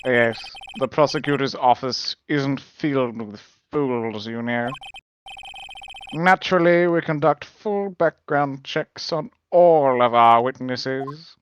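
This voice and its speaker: A man playing a smug, composed young prosecutor, pompous and measured, in a live dub of a courtroom game. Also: medium pitch, a little hiss or hum, natural voice.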